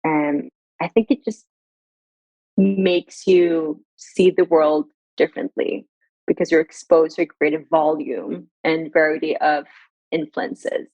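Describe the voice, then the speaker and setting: A woman's voice: low-pitched, flat delivery, mellow, dry recording, low-quality recording. A young woman from Sweden, a brand director, speaking thoughtfully as a guest in a podcast interview. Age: young adult. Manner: thoughtful, measured, with frequent "um" and "you know".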